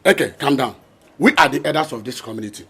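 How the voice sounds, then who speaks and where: An actor playing a community elder who tries to calm the others. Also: male voice, mid-range voice, fast, creaky, breathy edge, heavily acted.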